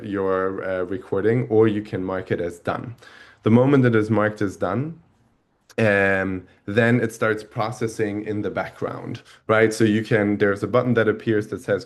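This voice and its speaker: A man with a thick German accent walks viewers through a product on a video call. His voice sits a little low, and he often stops and restarts mid-sentence. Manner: halting, many restarts and fillers. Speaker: webinar host. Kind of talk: product demo webinar talk.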